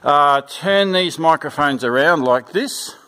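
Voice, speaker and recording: A man giving an informal, unscripted instructional walkthrough in a plain-spoken, halting way, repeating his instructions. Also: a little high, bright, forceful tone. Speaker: church sound system instructor. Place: indoors in a church.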